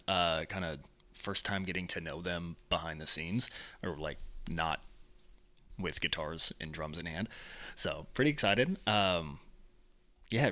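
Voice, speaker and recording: Lively low-voiced man chatting casually. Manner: relaxed, chatty, laughs along. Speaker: reaction video host. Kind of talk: casual reaction commentary.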